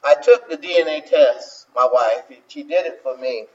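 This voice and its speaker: A man's voice: on the high side, sing-song, moderate pace, highs cut off. A man, a minister and host talking casually, rambling with repeated words and many fillers. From South Carolina. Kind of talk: casual talk to listeners.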